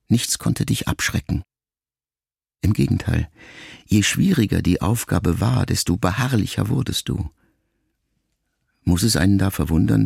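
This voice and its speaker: A man reading a literary letter aloud as an audiobook narrator, in a slow, solemn and reflective way with long pauses. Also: on the low side, vocal fry, a little mellow.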